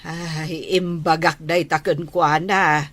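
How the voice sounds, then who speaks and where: A woman's voice: low-pitched, little pitch movement, slow, fairly bright tone, a little hiss or hum. A woman acting a meek, resigned old village wife and mother in an audio drama, with an Ilocano accent. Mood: resigned, placating. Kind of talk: amateur Ilocano radio-style comedy drama acting.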